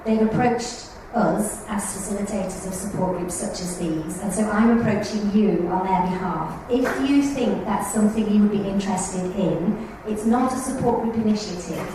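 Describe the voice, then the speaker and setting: A woman facilitating a support group meeting, speaking in a measured way with plenty of pauses and ums. She sits in the middle range for pitch. The recording is distant and very echoey, with clear room noise underneath. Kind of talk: meeting announcements and speaker introduction. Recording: echoey room, far mic, noisy.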